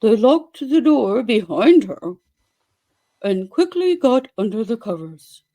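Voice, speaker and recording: A woman reads a story in a theatrical, exaggerated style. Her voice is fairly high and soft-edged rather than bright. She takes it very slowly, leaving dramatic pauses between phrases. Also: sing-song, poor audio. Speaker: dramatic reader.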